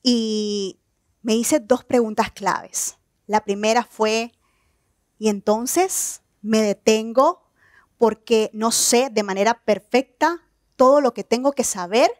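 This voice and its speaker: Woman, 30s, keynote speaker; earnest, personal delivery, fairly high voice, close dry mic. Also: dry recording. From Nicaragua. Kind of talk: motivational stage talk. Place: outdoor evening stage event.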